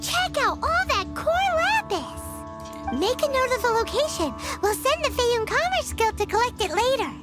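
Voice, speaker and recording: A woman voicing a tiny, excitable, childlike fairy companion with a squeaky, chirpy voice in an anime game. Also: normal speed, over loud music. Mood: excited, chirpy. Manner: chirpy, refers to self in third person.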